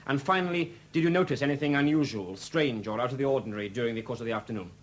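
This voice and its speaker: An actor playing a brisk, orderly police officer giving instructions in a 1970s radio drama. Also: male voice, medium pitch, close to an everyday voice. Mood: brisk, commanding. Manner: emphatic, orderly, commanding. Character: brisk police officer addressing an assembled group.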